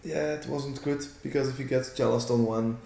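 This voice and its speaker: Flemish male co-caster, chatty and easygoing, native Dutch, average pitch, reverberant room. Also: moderate pace, creaky. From Belgium. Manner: relaxed, chatty, reads viewer comments. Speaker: stream commentator. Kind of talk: casual play-by-play commentary. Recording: roomy echo.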